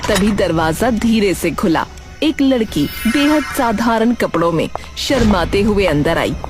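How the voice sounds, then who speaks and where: A woman's voice: medium pitch, expressive, unhurried, over music. A woman reading scripted narration for a cartoon family story, as the narrator.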